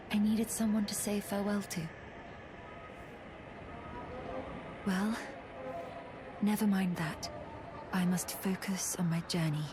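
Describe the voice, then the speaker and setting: An actress playing a frail, solemn young swordswoman in a fantasy game, speaking softly and gravely in formal, old-fashioned phrases, often half-whispered. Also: medium pitch, noisy background, natural voice. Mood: wistful, resolute. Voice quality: soft, breathy, half-whispered. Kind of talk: scripted fantasy game acting.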